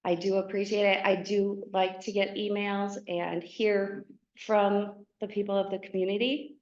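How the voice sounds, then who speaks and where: A woman in her fifties speaks softly and haltingly from a podium. Her voice carries through a large, echoing hall. The recording has a dull, muffled sound. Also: mid-range voice, level tone. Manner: soft-spoken, halting with fillers. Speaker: board candidate. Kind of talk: opening statement at a forum. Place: meeting hall. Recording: roomy, dull meeting recording.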